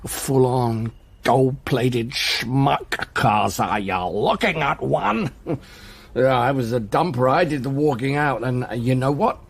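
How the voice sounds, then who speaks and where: Husky, gravelly man on edge. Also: mid-range voice, animated, takes it slowly, exaggerated voice. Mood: bitter self-pity. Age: middle-aged. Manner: rambling, self-pitying, stammering. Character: bitter, boozy middle-aged man on edge. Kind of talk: radio drama dialogue.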